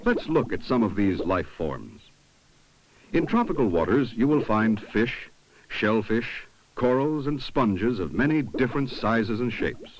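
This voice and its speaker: A man reading scripted 1970s documentary narration in a measured, instructive way, on an old film soundtrack. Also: mid-range voice, big pitch swings, highs cut off.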